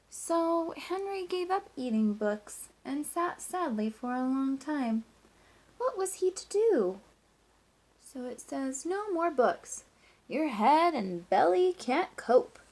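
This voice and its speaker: Higher-pitched woman reading a storybook aloud. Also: low-quality recording. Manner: expressive, storytelling emphasis with drawn-out words and hushed asides. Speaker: teacher reading aloud to pupils. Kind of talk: picture-book read-aloud for children.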